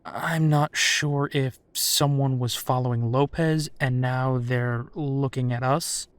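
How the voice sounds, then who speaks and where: Male actor as steady werewolf detective, worried, caring, reassuring, mid-pitched, intimate audio roleplay, very dry recording. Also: takes it slowly, no room sound, lightly acted. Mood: serious, planning.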